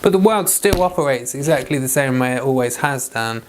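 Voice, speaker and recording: A man's voice: medium pitch, animated, a little fast, a little hiss or hum. A man leading an informal Bible read-along, reading scripture aloud and giving chatty devotional commentary.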